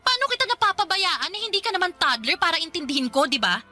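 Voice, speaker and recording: A woman's voice: extremely high pitch, sing-song, quick pace, bright, forceful tone, soft background music, heavily acted. An actress playing a young, career-driven girlfriend in a Tagalog radio drama, with a snappy, sarcastic way of talking. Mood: angry, sarcastic.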